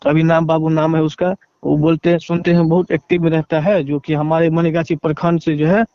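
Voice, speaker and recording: A man with a Bihari accent giving a halting, deferential account in a phone interview, leaning on repeated filler phrases. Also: a little high, little pitch movement, smooth, no echo. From Bihar, India. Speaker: interviewee, relative seeking justice. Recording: dull lo-fi phone call.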